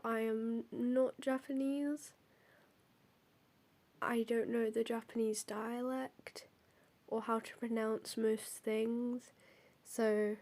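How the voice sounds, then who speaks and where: A woman's voice: on the high side, mellow. A young woman with a cold and a stuffy nose, hosting and reading out folklore notes, hesitant with many ums and apologies. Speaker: host.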